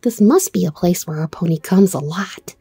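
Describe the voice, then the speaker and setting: Woman as an earnest little girl doll, lively intonation. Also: on the low side, moderate pace, husky, no room sound, heavily acted. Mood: thoughtful. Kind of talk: storybook character voice.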